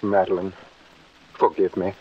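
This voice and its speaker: An actor playing a secretive, scheming doctor in a 1940s radio mystery, with an earnest, halting delivery. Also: male voice, low-pitched, flat delivery, breathy edge. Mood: earnest pleading. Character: scheming adulterous doctor, smooth and secretive, plotting murder. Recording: dull 1940s radio recording.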